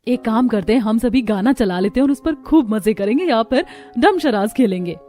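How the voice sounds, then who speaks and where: Lady doing a helpful friendly village woman for a cartoon, highish voice, music under. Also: lively intonation, normal speed, dark tone, lightly acted. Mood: playful.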